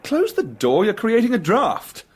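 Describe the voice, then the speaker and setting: Male, young gentleman sleuth for period radio drama, teasing yet haunted, highly animated intonation. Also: unhurried, slightly rough, full character voice. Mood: brisk, insistent. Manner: urbane, teasing, intense.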